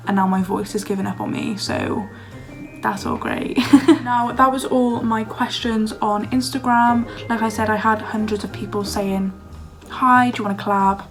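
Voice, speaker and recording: A young woman in her early twenties is chatting casually to a phone camera, answering viewer questions. Her voice sounds a little hoarse and croaky. She fills her talk with "like", and faint music plays behind her. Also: medium pitch, steady tone, moderate pace. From Wales. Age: young adult. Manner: chatty, lots of "like", laughs often. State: slightly hoarse, losing her voice. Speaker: vlogger. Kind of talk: casual Q&A chat.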